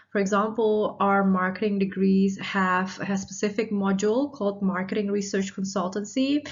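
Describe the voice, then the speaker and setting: A woman lecturer in her thirties, originally from Indonesia, walks through her material in a measured, explanatory way. Her voice sits at a middle pitch. It comes through a webcam mic with slightly dull, lo-fi sound. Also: creaky. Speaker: university lecturer. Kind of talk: recorded online lecture.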